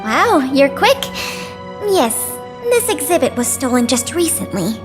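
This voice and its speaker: A woman's voice: high-pitched, sing-song, measured pace. A woman voicing an earnest, friendly young tour guide in a video game, polite and eager to explain. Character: earnest, friendly young woman guiding visitors around a museum. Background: faint background music.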